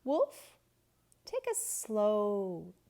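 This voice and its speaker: Woman reading a gentle little girl yogi in a storybook, slow and soothing, lively lilt. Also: mid-range voice, vocal fry, heavily acted. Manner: slow, soothing, guiding breathing.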